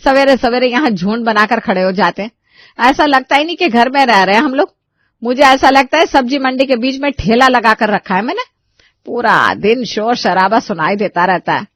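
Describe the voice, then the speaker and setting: A woman voicing an indignant, protective middle-aged Indian mother in an animated moral story, scolding and exclaiming. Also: fairly high pitch, normal speed, no echo. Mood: exasperated.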